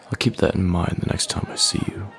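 A man playing a smug, menacing young male bully in an intimate audio roleplay, taunting with slow, deliberate threats in a deep, gravelly voice. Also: steady tone, a bit bright, some chatter behind, slight background noise. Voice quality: deep, gravelly, breathy at line ends.

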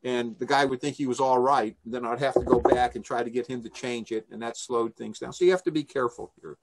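Older man, medium pitch, informal presenter, conversational delivery, video call microphone. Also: fast talker, background noise. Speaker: presenter giving a briefing. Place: small room indoors, on a video call.

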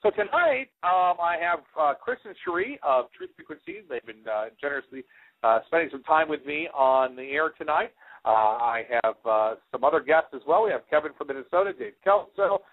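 A man's voice: a little high, very animated, moderate pace. Man hosting over a tinny, phone-like line. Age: 40s. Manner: rambling, frequently hesitant delivery. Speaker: radio show host. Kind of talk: casual unscripted show announcements. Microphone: headset. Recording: phone-like, band-limited internet radio sound.

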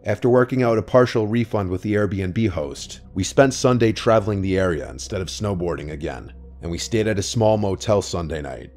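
A man tells a first-person horror tale in a scripted, measured narration. His voice sits low and hushed throughout. Soft music plays faintly beneath him. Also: lively intonation.

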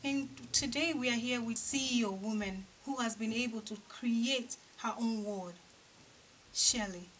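A woman's voice: a little high, unhurried. A woman hosting an interview, asking questions with enthusiastic admiration in a showroom. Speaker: interviewer host. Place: indoor fashion showroom. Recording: distant mic, background hiss.

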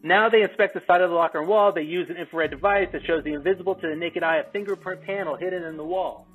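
A man's voice: high-pitched, fast, fairly bright tone, husky. A man reading out stage directions as narrator at a remote script table read. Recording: dull call audio, music behind.

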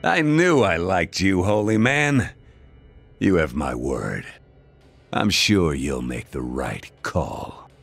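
An actor playing an arrogant, menacing warlord in a video game, taunting in a drawling, mock-polite way. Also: male voice, sits low, sing-song, slow, creaky, a bit bright, breathy edge, soft background music, heavily acted. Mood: smug. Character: arrogant, menacing male warlord of an invading army.

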